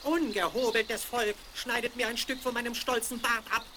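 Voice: steady tone, normal speed, lightly acted. An actor voicing a screeching, ungrateful little old dwarf in a fairy-tale radio play for children. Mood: indignant scolding. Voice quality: screeching, shrill. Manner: scolding, indignant, quarrelsome. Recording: crackly 1970s vinyl record.

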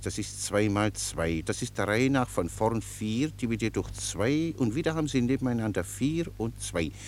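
An actor in a German radio play, playing a cheerful, long-winded common soldier who explains things pedantically with naive obedience. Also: male voice, mid-range voice, a little hiss or hum, lightly acted. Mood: patient, explaining. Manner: chatty, rambling, pedantically explanatory.

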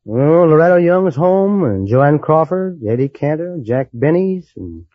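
A man's voice: fairly high pitch, sing-song, a little slow, dry recording. An American man from Colorado answering an interviewer plainly and a little hesitantly as a quiz show contestant. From Colorado, USA. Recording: dull old radio broadcast.

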